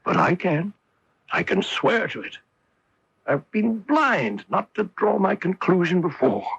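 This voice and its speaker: A man playing a keen, confident, amused master detective in a theatrical radio mystery style, with a raspy voice. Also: high-pitched, big pitch swings, a little slow, fairly bright tone, exaggerated voice. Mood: triumphant. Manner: theatrical, emphatic deductive delivery. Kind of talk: 1980s radio mystery acting. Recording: dull 1980s AM radio broadcast.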